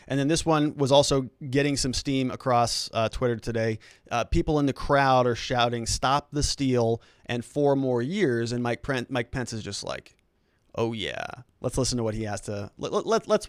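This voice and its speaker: A man's voice: medium pitch, lively intonation, normal speed, dry recording. American guy doing chatty political commentary, explains stuff and keeps asking rhetorical questions, dry close sound. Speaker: host, legal commentator.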